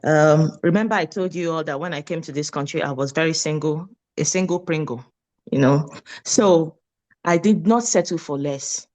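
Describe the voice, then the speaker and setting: A Nigerian woman in her late thirties, a nurse, talking with a lively, preachy energy and repeating her points in a casual interview. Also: low voice, moderate pace. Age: 30s. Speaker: nurse and guest.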